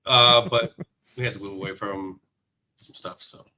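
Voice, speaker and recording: A man's voice: fairly low pitch, steady tone, unhurried, pushed and bright, lo-fi sound. A man in his thirties, originally from Hawaii, hosting a live stream and telling stories about car audio in a forthcoming way. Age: 30s.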